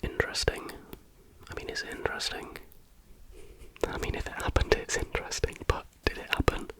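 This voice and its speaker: A man whispering in a breathy, unvoiced ASMR style, reading stories aloud as a host. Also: fairly quick, a bit bright, low-quality recording. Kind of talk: whispered ASMR storytelling, reading with casual asides.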